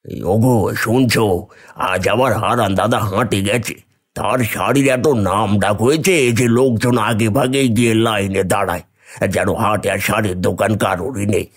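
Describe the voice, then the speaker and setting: A man's voice: on the low side, fairly even intonation, normal speed. A man playing an envious, scheming village younger brother who grumbles resentfully in a moral-tale cartoon. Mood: grumbling envy.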